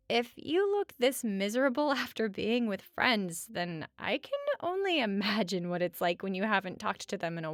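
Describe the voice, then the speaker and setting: A woman playing a sarcastic but caring roommate in a conversational audio roleplay, talking casually with wry teasing and hesitant pauses. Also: fairly high pitch, vocal fry, fairly bright tone, dry recording, studio quality, exaggerated voice. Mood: teasing, probing. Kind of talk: conversational comfort audio roleplay at regular speaking volume.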